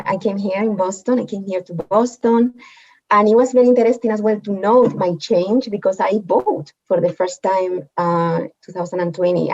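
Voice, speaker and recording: Female guest speaker, native Spanish with Spanish accent, mid-pitched voice, reflective personal storytelling, halting phrasing, slightly muffled lo-fi audio. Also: a little mellow. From Spain. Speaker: guest speaker, medical anthropologist. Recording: dull, lo-fi audio.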